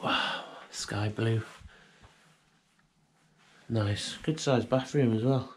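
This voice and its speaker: A young British man quietly narrating as he walks through an abandoned house, musing and sometimes half-whispering, recorded on a handheld camera indoors. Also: mid-range voice, drawn-out words, a little hiss or hum. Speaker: urban explorer vlogger. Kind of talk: casual walkthrough commentary. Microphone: handheld action camera.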